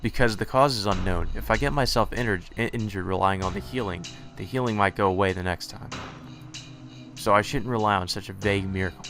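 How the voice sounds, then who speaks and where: Male, low voice, let's play host, reading game story aloud, casual commentary, stumbles and self-corrects, music behind. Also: brisk, a bit bright. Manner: stumbles over words and corrects himself while reading.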